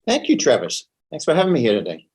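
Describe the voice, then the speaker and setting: A man's voice: medium pitch, huge pitch swings, fast talker, a little mellow, breathy edge. A middle-aged man with a New Zealand accent talks conversationally as an interview guest, often repeating words as he finds his phrasing. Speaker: interview guest, financial advisor.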